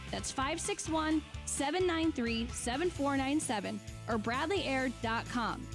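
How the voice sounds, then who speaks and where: A woman's voice: high voice, measured pace, music in the background. A woman reading a scripted radio testimonial ad. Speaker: general manager giving testimonial ad.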